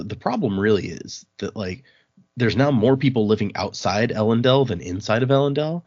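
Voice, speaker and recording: Guy with a lowish raspy voice doing casual book podcast chat, dry and kinda dull sound. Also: dry recording. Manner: conversational, informal. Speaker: podcast host. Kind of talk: casual chat recapping and reading from a novel.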